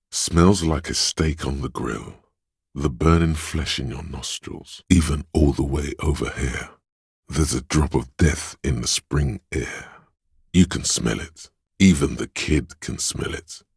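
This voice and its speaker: A man voicing the wry inner voice of a detective's sense of smell in a game, with a slow, sardonic delivery. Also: dry recording, studio quality, exaggerated voice. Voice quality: deep gravelly husky voice. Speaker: voice actor for game narration. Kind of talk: scripted game voice lines. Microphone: close studio mic.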